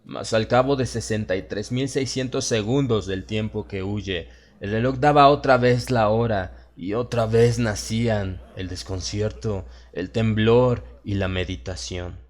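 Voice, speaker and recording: A man with a Latin American Spanish accent narrates a horror audiobook in a solemn, measured, dramatic way. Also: on the low side. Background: music.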